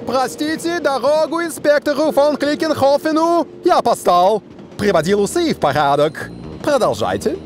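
A man's voice: extremely high pitch, big pitch swings, moderate pace, soft background music. A man voicing a pompous, theatrical self-styled detective inspector in a cartoon. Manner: theatrical, self-important, declaiming. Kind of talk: cartoon dub acting.

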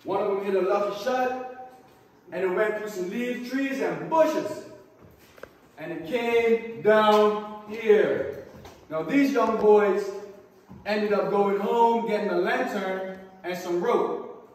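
Guy tour guide talking to a group in a cave, high voice, super echoey, short punchy phrases. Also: slow, pauses often, darkish tone. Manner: emphatic short phrases with check-in tags. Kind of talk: storytelling tour talk to a group. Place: inside a large cave. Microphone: distant camera microphone. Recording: echoey cave, distant camera mic.

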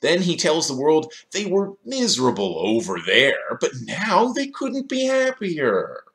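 A man's voice: a little high, huge pitch swings, unhurried, fairly bright tone. A man in his thirties delivering scripted video essay commentary in a sardonic, questioning manner, recorded in a small room.

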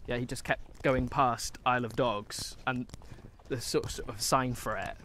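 A man's voice: mid-range voice, steady tone, normal speed, a bit bright. A British man in his twenties chatting casually and musingly as he walks outdoors, co-hosting a film discussion. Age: 20s. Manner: rambling, musing. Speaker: film review co-host. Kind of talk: casual chat while walking. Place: outdoors, walking through countryside and woods. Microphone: handheld camera at arm's length. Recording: outdoor camera audio with background noise.

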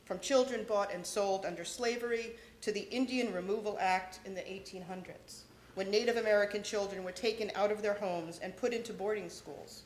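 A middle-aged woman at a podium giving a measured, formal welcome speech read from notes. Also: medium pitch, a little hiss or hum. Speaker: event organizer introducing speakers. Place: large lecture hall, at a podium. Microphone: podium microphone. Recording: echoey hall.

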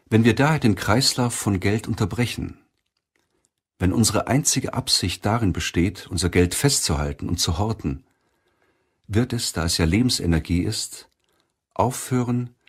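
Male narrator, low and husky, deliberate scripted self-help audiobook read over music.

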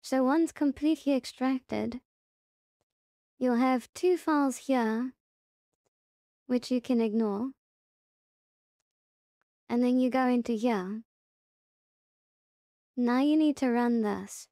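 A woman hosting a casual software tutorial, explaining it step by step in a halting way. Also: on the high side, dry recording, clean studio sound. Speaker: tutorial host.

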